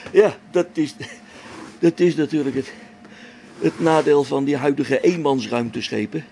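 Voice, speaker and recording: A man's voice: fairly high pitch, unhurried, vocal fry, mellow, slight background noise. An actor playing a grumbling old-school test pilot and father talking to himself in a spaceship radio drama. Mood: muttering, amused. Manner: muttering to himself, grumbling, chuckling.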